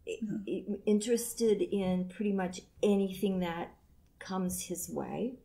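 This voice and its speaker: A woman's voice: medium pitch, takes it slowly, vocal fry, dark tone. A middle-aged woman in casual unscripted chat, curious and opinionated as she offers her guesses. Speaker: guest guessing about characters.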